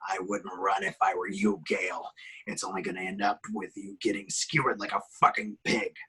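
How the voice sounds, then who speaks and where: Man playing a low, menacing slasher killer. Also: sing-song, moderate pace, creaky, pushed and bright, breathy edge, exaggerated voice. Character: menacing, taunting masked male slasher killer.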